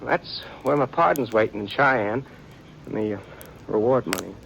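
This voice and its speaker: An American actor playing a nervous, defensive outlaw in an old radio western, stammering and wheedling in folksy rural speech. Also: male voice, fairly low pitch, moderate pace, creaky, a bit bright, muffled recording. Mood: fearful pleading. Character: nervous, defensive outlaw turned informer, pleading for protection. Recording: noisy vintage radio drama recording.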